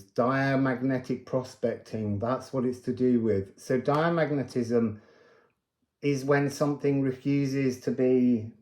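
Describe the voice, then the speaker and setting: A man giving an unscripted spiritual card reading, musing aloud with pauses and emphatic phrases. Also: medium pitch, a little mellow, roomy sound. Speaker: card reader and spiritual guide.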